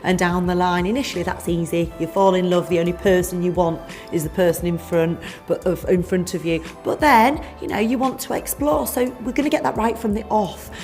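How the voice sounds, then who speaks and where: A woman's voice: lowish voice, fairly even intonation, a little fast, hardly any pauses, darkish tone, faint music behind. A woman speaking as a relationship coach, explaining informally and addressing the listener directly in an emphatic, conversational way. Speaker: relationship coach promoting a seminar. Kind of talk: informal explanatory promotional talk.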